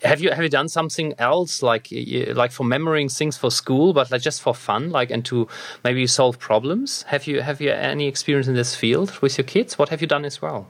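A man's voice: medium pitch, brisk, vocal fry, fairly bright tone, no room sound. A man hosting an interview, talking casually and hesitantly with many fillers and restarts. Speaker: podcast host.

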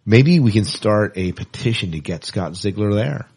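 A man with a distinctly low voice co-hosts a podcast. He talks casually and conversationally, doubling back on phrases for emphasis. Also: lively intonation, normal speed, gravelly, darkish tone, breathy edge. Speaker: podcast co-host.

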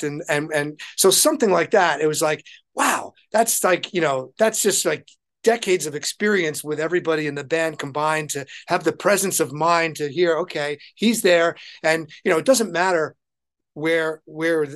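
A man's voice: a little high, moderate pace, a bit bright. A man being interviewed as a touring musician, telling anecdotes conversationally and often repeating words as he thinks aloud.

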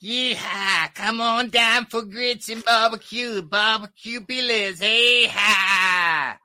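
A man's voice: sits high, slow, pushed and bright, heavily acted. A man voicing an exuberant, whooping, folksy Texan with a Texan accent in a comedy impression.

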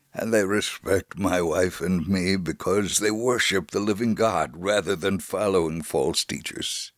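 A man reading a first-person faith story aloud as scripted narration, in a measured, solemn manner. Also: fairly low pitch, dry recording, studio quality.

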